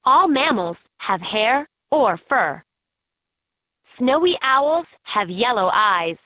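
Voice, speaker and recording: A woman's voice: fairly high pitch, very animated, slow pace, no echo. A woman voicing an upbeat guide in a children's educational animal game, in scripted narration with slightly dull game audio.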